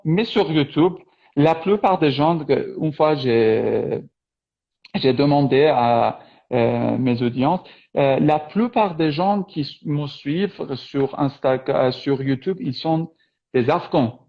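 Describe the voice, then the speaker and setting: A man whose first language is Persian chats in an interview, halting and restarting his phrases with many fillers. His voice sits fairly high for a man. The sound is slightly dull, as if it came over a video call. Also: expressive, creaky. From Iran. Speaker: interviewer, French teacher on YouTube. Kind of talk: casual interview chat.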